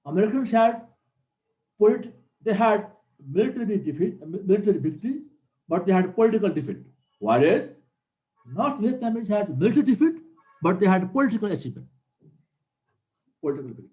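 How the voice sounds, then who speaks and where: A man's voice: sits high, big pitch swings, brisk, pauses often, warm tone. A middle-aged man, giving an earnest classroom lecture as a military instructor and repeating key points for emphasis. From Nepal. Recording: roomy echo.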